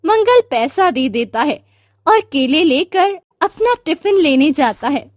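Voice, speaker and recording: A woman's voice: high voice, lively intonation, measured pace, no echo, studio quality. A woman narrating a scripted moral story as the storyteller, with music behind her. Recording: music under the voice. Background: background music.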